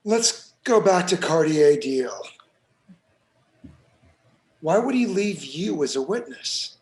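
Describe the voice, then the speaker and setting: An actor in a screenplay table read playing a guarded, cynical police detective, brisk and matter-of-fact. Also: male voice, fairly high pitch, very animated, heavily acted. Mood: businesslike.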